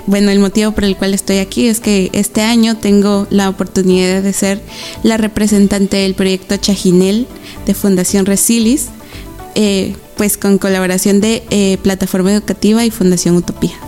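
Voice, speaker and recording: A woman's voice: medium pitch, a little mellow. A woman, a guest in a radio interview, speaking hesitantly with many fillers and easy laughs. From Guatemala. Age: 20s. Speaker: interview guest, rural social worker.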